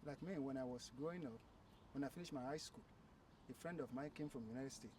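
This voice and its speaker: A man in his late thirties speaks in a middle pitch, stopping and restarting his sentences as he recounts his journey. He sounds set back from the mic, with steady background noise clearly audible. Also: far from the mic, rough recording. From Nigeria. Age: 30s. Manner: halting, repeats words while telling his story. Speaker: interview guest. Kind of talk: casual conversation.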